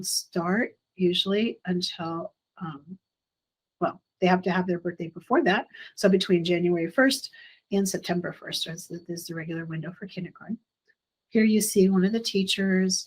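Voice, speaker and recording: Husky-voiced woman presenting slides, halting. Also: on the low side, low-quality recording. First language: English. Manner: halting, many ums and restarts. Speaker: school administrator. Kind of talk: presenting slides at a parent orientation.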